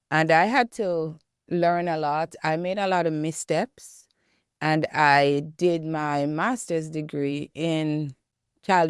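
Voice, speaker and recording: Low-voiced Jamaican woman, clear and dry. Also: darkish tone, dry recording. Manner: reflective, measured storytelling. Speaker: guest, educator and author. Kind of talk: interview conversation.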